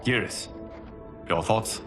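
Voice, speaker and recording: A man playing a noble, wise protector god and commander in a video game, formal, deliberate and earnest. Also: music in the background, close to an everyday voice. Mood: stern. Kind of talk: video game cutscene acting.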